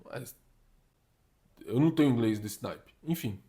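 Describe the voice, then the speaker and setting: A young man from Brazil, giving casual career advice in an animated, slangy way. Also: medium pitch, unhurried. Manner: slangy and animated, uses "tá ligado", "mano", "papo reto". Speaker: career vlogger giving communication tips.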